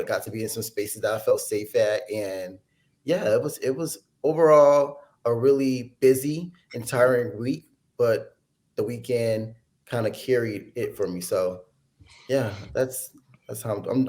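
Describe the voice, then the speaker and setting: A man co-hosting a podcast, talking in an animated, sassy, slangy way. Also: lowish voice, moderate pace. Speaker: podcast co-host. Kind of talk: casual chat.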